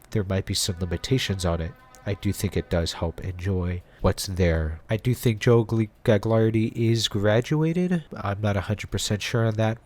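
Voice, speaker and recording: A man narrating a scripted video essay in a measured, conversational, explanatory way, as the host of a review series. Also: on the low side, slight background noise. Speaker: host of a web series reviewing analog horror.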